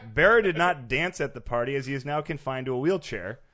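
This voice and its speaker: A man hosting a comedy sports podcast, reading mock news in a dry, deadpan way. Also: medium pitch, brisk. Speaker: host.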